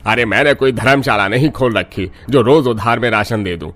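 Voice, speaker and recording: A man's voice: medium pitch, moderate pace, fairly bright tone, close to an everyday voice. A man voicing a greedy, two-faced village grocer in a cartoon, with a theatrical, sneering delivery. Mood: sneering, scolding.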